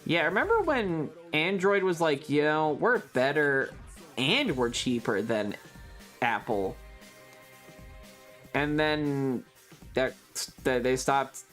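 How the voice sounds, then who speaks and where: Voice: mid-range voice, animated, takes it slowly, a bit bright, faint music behind. A livestream host chatting casually with viewers in a rambling, loose, casually profane way. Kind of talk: casual chat with stream viewers.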